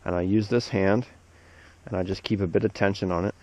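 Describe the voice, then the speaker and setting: A man, an arborist instructor, calmly walking through tying a knot step by step outdoors in the snow on a head-mounted camera. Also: sits low, fairly quick, no echo. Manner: steady, plain step-by-step explaining. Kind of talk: hands-on knot demonstration. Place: outdoors among snowy trees. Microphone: head-mounted action camera. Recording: dull lo-fi camera audio.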